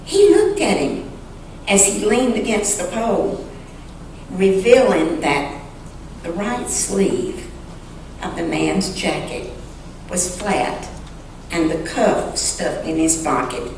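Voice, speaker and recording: A woman reading a story aloud dramatically and earnestly, with deliberate pauses, in a church sanctuary. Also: mid-range voice, sing-song, darkish tone. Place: large indoor church sanctuary. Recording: echoey church sanctuary, distant mic, faint noise.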